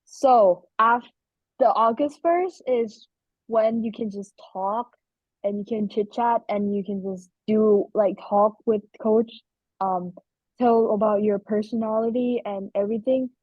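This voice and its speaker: A young woman whose first language is Thai, answering questions in a webinar with frequent ums and pauses. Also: mid-range voice, no room sound. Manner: hesitant, many ums. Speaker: student guest speaker. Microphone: video call. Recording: muffled video call audio.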